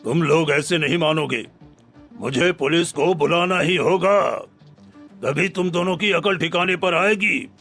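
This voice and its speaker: A man's voice: a little high, measured pace, a bit bright, soft background music. A man playing a middle-aged village headman, an authoritative father of the bride, in a Hindi cartoon story. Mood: angry.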